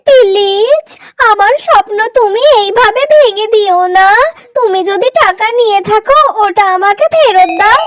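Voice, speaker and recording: A woman's voice: very high-pitched, a bit bright. A woman voicing a frantic, greedy mother crow in a cartoon, wailing and accusing everyone around her. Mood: pleading. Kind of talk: cartoon voice acting.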